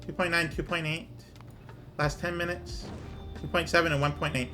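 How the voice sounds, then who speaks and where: A man streaming a game, talking casually through his numbers as he plays, with his voice going hoarse. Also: a little high, flat delivery, normal speed, over music. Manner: muttering numbers while he plays, thinking aloud. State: losing his voice. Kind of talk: casual gameplay commentary.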